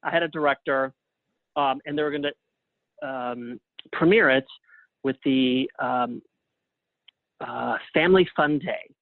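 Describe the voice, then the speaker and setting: A man giving casual interview answers as a guest over a phone line, in a halting way with frequent restarts. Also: mid-range voice, no echo. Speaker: guest, playwright and librettist. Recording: thin phone-line sound.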